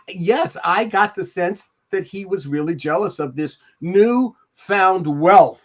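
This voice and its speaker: An older man reminiscing on a podcast panel in a halting, digressive, emphatic way, speaking from home over a video call. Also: sits high. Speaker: podcast host, former TV producer. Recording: dull, muffled video call audio.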